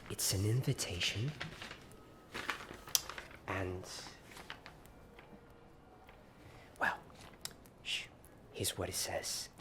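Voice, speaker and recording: A man playing a friendly, secretive wizard-school companion in an ASMR roleplay, speaking in a hesitant, confiding way and often dropping to a whisper. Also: deep voice. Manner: hesitant, halting, hushed and confiding. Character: friendly, secretive Hogwarts companion eager for a ghost party. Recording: clearly noisy ambient background.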